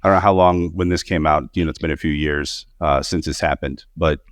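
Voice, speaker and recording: A man in his early forties with a low voice chats in slangy, teasing banter. He curses freely as he riffs. The recording is dry and close. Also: fairly even intonation, a little fast, no room sound. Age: early 40s. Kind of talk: casual banter.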